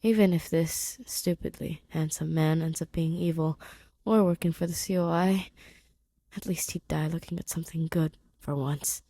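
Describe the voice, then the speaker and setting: A woman's voice: deep voice, fairly even intonation, moderate pace, mellow, dry recording. Woman reading fiction, dry close sound. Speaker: fan fiction reader. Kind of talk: expressive scripted story narration.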